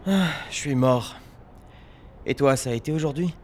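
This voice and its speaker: A man's voice: medium pitch, normal speed, slight background noise, natural voice. A man playing a weary, sardonic young factory worker in an audio drama, with a mocking, crude way of talking. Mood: dry, teasing.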